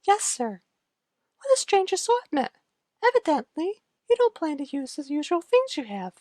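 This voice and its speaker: A woman's voice: extremely high pitch, very animated, moderate pace, darkish tone, no echo, clean studio sound. A woman playing a prim, obliging and curious doctor's assistant in a radio soap opera. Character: deferential, curious young female doctor's assistant.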